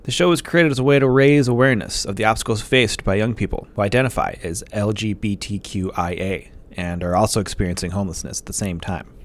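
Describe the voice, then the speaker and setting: A man hosting a podcast, narrating a scripted segment in a measured way and spelling things out slowly. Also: on the low side. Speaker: podcast host.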